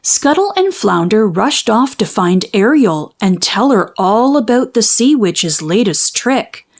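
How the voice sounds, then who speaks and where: A woman narrating a children's storybook in an expressive, measured storytelling manner. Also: medium pitch. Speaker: children's book read-aloud narrator.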